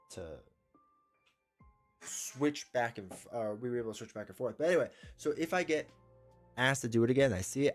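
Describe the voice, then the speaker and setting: A man with a stuffed-up voice from a cold, hosting a casual live stream. He talks in a rambling, opinionated way, often restarting his sentences as he reads and answers chat. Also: on the low side. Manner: rambling, self-correcting, opinionated. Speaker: streamer host.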